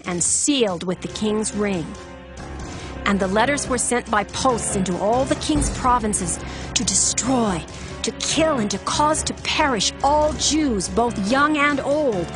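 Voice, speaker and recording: A woman giving solemn, measured dramatic narration of scripture, with music behind her. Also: fairly high pitch. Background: background music.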